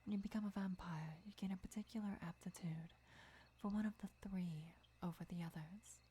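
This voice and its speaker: A woman playing a gentle, devoted vampire lover who teaches patiently in a breathy, measured whisper for an ASMR roleplay. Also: fairly low pitch, steady tone. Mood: calm, instructive. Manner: measured, deliberate, soft-spoken explaining.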